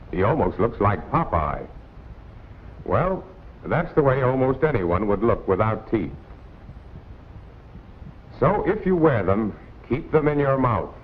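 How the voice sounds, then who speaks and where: An American man narrating a 1940s instructional film in a plain-spoken, colloquial, admonishing way. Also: lowish voice. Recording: tinny, noisy 1940s film soundtrack.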